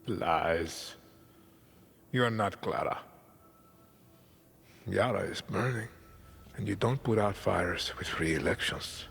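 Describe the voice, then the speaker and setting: Gravelly, deep-voiced Latin dictator. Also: male voice, a little hiss or hum, heavily acted. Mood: cold, menacing. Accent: Latin American Spanish. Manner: measured, commanding, exacting. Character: cold, imperious, ailing middle-aged dictator and father. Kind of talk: dramatic video game acting. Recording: loud music behind.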